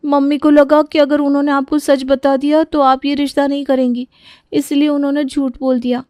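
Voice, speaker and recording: A woman voicing an earnest, expressive young daughter-in-law in a Hindi cartoon story, pleading and eager. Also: sits high, normal speed, no room sound, clean studio sound, close to an everyday voice. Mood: confessing.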